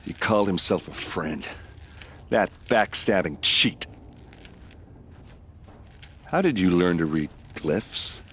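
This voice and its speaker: A man's voice: lively intonation, takes it slowly, pauses often, a bit bright, a little hiss or hum, heavily acted. An actor voicing a young male warrior in a video game who grieves his murdered sister, earnest and pleading. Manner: earnest, pleading, low-voiced.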